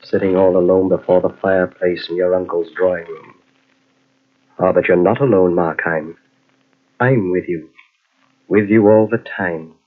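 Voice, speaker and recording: An actor playing a sly inner voice of evil that tempts and commands in old-time radio mystery style. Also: male voice, deep voice, steady tone. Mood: gloating, sinister. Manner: insinuating, slow commanding repetitions. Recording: dull lo-fi old radio cassette recording.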